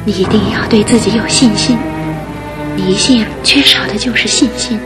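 An actress playing a tender, resolute young fiancée, speaking softly and near a whisper, in a radio drama with music behind. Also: female voice, mid-range voice, moderate pace, natural voice. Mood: soothing. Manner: soft, soothing, often near a whisper.

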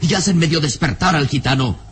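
An actor as the storyteller of an adventure radio serial, narrating in a theatrical, suspenseful, carefully enunciated way. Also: male voice, on the high side, fairly quick, noisy background, natural voice. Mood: tense.

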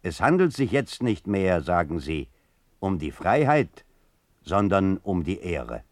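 An actor playing a solemn, indignant reader of a political manifesto, declaiming with measured rhetorical emphasis in a radio play. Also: male voice, low-pitched, sing-song, no echo, studio quality, full character voice.

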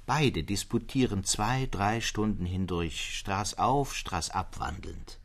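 An actor playing a brooding, fervent literary storyteller in a radio drama, speaking deliberately in old-fashioned literary phrasing. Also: male voice, lowish voice. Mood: animated storytelling.

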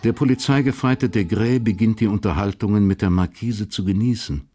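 An actor as the detached narrator of a historical crime radio drama, measured and factual. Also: male voice, on the low side, mellow, lightly acted.

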